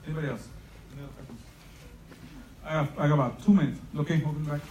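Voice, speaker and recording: A man's voice: a little high, steady tone, racing, a little mellow. A man from El Salvador giving an emphatic motivational speech as a program founder, often checking that listeners agree. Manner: impassioned, emphatic, seeks agreement with "right?". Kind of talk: motivational public speech to parents and students. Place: large room at a live event. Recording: roomy, distant mic, faint noise.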